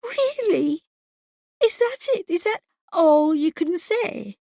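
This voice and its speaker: A woman voicing a distraught mother whose daughter is missing, pleading and shouting accusations at her husband. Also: mid-range voice, flat delivery, slow, vocal fry, no room sound, clean studio sound. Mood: furious.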